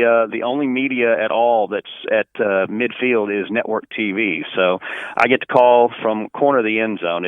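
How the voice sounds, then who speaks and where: A man's voice: fairly low pitch, clean tone, no echo, narrow, tinny audio. A man who works as a play-by-play announcer giving detailed, articulate sports commentary as an interview guest, conversationally.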